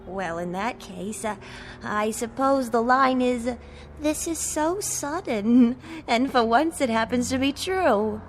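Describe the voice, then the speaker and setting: Wary club performer: high, swooping voice, self-assured, wry, radio drama. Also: female voice, lively intonation, takes it slowly, soft background music. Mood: wry, guarded. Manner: wry, skeptical. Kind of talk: radio play acting.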